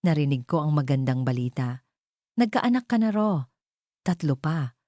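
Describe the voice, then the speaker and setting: A woman's voice: sits low, lively intonation, normal speed, dark tone, dry recording, studio quality. A woman with a Filipino accent voicing a haughty, seductive rich ex-lover in a story, sweet but condescending. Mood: sweetly coaxing.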